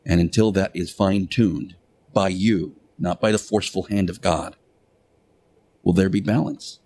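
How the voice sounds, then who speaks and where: An earnest religious teacher played by a man delivers a radio sermon in a fairly low voice. He is preachy and admonishing, leaning on key words with deliberate pauses and repetition. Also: steady tone, mellow. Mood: measured, earnest. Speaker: radio show host. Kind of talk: radio monologue, moral sermon.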